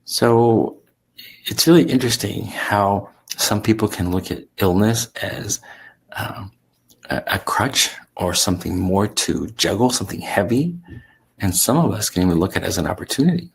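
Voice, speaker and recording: A man with a gravelly, congested voice, talking as a sleep coach in a relaxed, reflective way with fillers and restarts. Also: low voice, low-quality recording. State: sick, congested with sore throat. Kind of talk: solo talk to camera.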